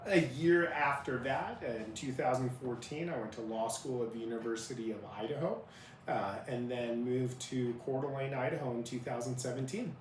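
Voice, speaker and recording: A man's voice: medium pitch, fairly even intonation, normal speed. A man in his thirties from Iraq who grew up in Utah, a native Arabic speaker answering interview questions conversationally. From born in Iraq, grew up in Utah. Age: 30s. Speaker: interview guest, family lawyer. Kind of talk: conversational life-story interview. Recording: roomy, distant mic with faint noise.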